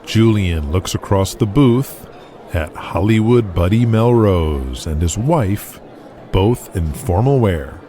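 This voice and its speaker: A man's voice: lowish voice, lively intonation, takes it slowly, mellow, slight background noise. A man narrating an audio drama in a scripted, present-tense storytelling style.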